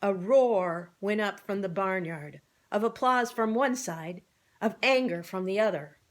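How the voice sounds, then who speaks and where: A woman narrating a children's book in an expressive read-aloud style. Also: mid-range voice, measured pace. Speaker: storybook reader.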